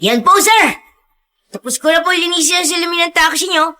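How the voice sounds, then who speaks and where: Street urchin, very high-pitched, sharp bright tone, cheeky, chatty, pleading, radio drama acting. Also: fairly quick. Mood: bantering, playful. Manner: pleading, talkative, bantering. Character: cheeky, chatty young orphan street windshield-washer child.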